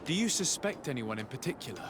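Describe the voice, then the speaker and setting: An actor playing a polite, composed noble envoy in a video game, formal, courteous and inquiring. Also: male voice, mid-range voice, big pitch swings, fast talker, noisy background, lightly acted. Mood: probing. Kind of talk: video game cutscene acting.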